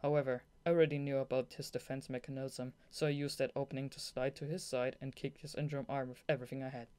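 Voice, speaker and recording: Young narrator with a mid-pitched voice. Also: fast, clean tone. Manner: giggly, excitable asides between read passages. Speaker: audiobook reader. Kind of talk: scripted novel narration with blooper asides.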